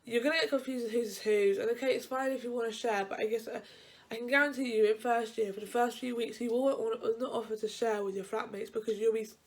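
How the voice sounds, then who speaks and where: A woman's voice: a little high, fairly even intonation, fast talker. Young woman student vlogger, stuffy nose, loose chatty delivery that wanders and restarts mid-sentence. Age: young adult. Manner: rambling, chatty, frequent self-corrections. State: blocked-up nose. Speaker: university vlogger. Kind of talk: casual advice vlog.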